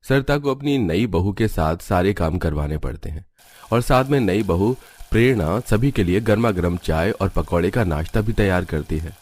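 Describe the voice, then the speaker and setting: A man's voice: low-pitched, expressive, moderate pace, a little mellow. A man narrating a scripted cartoon family story.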